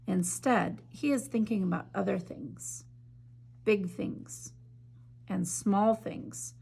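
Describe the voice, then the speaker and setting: A woman teacher reads a picture book aloud at an unhurried pace, softening her voice at quiet moments. Her mid-range voice carries a noticeable vocal fry. When she turns to the illustrations, she becomes chatty and eager. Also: medium pitch, a little hiss or hum. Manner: gentle, measured reading with soft drops in volume; enthusiastic, chatty when discussing the art. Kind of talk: slow storybook read-aloud, then art discussion.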